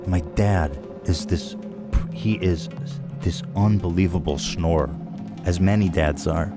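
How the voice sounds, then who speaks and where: A man hosting a podcast, telling a comic story in a dramatic way, dropping now and then into a hushed whisper. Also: deep voice, fairly even intonation, a little slow, over music. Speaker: podcast host.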